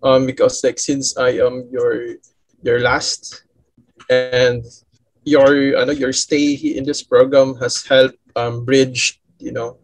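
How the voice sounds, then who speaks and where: Creaky-voiced Filipino man, halting interview guest. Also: medium pitch, little pitch movement, no echo, low-quality recording. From Philippines. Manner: halting, repetitive, frequent "you know" filler. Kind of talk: conversational interview answers.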